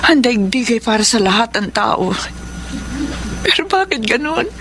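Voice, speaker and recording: A woman playing Choleng, a poor, grieving mother in a radio drama, speaking softly and politely. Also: a little high, very animated, a little slow, music in the background. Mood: lamenting. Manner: pleading, sorrowful, polite.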